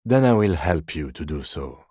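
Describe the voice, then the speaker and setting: An actor playing a composed, dry-witted middle-aged police detective in a video game, speaking in a measured, formal, deadpan way. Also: male voice, very deep voice, slightly rough, no echo, heavily acted. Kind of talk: video game character dialogue.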